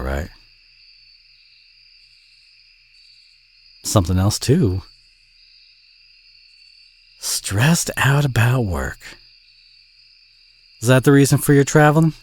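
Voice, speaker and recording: Male actor as friendly Southern country boy, lowish voice, very varied intonation, folksy, chatty, audio roleplay. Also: husky, heavily acted. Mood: easygoing, curious. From southern United States. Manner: folksy, chatty, gently teasing. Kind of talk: intimate audio roleplay addressed to the listener.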